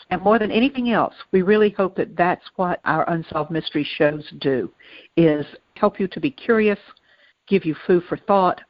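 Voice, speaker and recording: A woman's voice: low voice, no room sound. Woman podcast co-host, dry muffled sound. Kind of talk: conversational podcast discussion.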